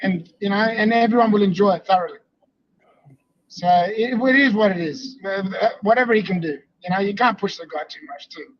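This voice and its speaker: A high-voiced man on a livestream call, talking emphatically and repeating himself. He swears freely. The sound is dull and lo-fi, like a remote call. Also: fairly quick. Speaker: livestream panel guest. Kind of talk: casual chat.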